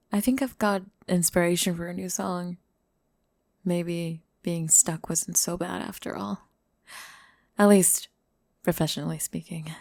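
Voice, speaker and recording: A woman playing a gentle, earnest singer-songwriter in a scripted ASMR roleplay, soft and hesitant. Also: on the low side, no echo, studio quality, voice pushed down. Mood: playful.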